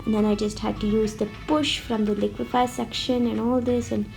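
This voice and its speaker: A woman with a slightly sick-sounding voice, explaining a drawing tutorial step by step in a friendly, relaxed way. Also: fairly high pitch, a little fast, creaky, poor audio. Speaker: art tutorial host. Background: faint background music.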